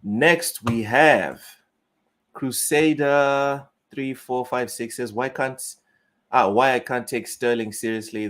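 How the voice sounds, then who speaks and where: A young man from Mozambique with a Southern African accent, chatting casually on a gaming live stream, reading chat aloud and riffing bluntly. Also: on the low side.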